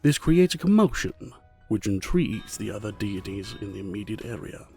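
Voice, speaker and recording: A man narrating a scripted fantasy story in a measured, formal manner. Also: on the low side, very animated, mellow, faint music behind.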